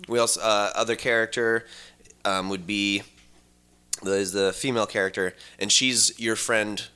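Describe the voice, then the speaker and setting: Male co-host, slightly low but forceful voice, halting casual explainer. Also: steady tone, clear, resonant tone. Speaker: podcast co-host. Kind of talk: casual chat, explaining game plots.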